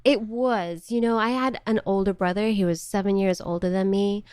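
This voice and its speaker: A woman's voice: medium pitch, steady tone, moderate pace, a little mellow, smooth, no echo, studio quality. A woman from South Africa, a guest in an interview, talking in a thoughtful, friendly way and laughing easily. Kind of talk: conversational interview.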